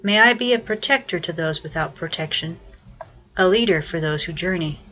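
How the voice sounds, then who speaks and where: A woman narrates a guided meditation in a somewhat low voice. Her delivery is measured and instructive, with the tone of someone reciting prayers. The recording sounds a little dull and lo-fi, like a homemade track. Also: animated, bright, forceful tone.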